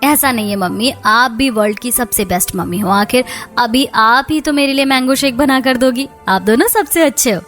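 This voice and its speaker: A pampered, adoring young daughter in a cartoon story, played by a woman. Her high voice pleads and gushes like a child's, over background music. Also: normal speed, hardly any pauses, fairly bright tone, lightly acted. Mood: delighted.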